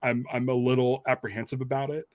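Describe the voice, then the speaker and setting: Dry-recorded man in halting, casual chat. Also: medium pitch, flat delivery, no room sound. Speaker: podcast host.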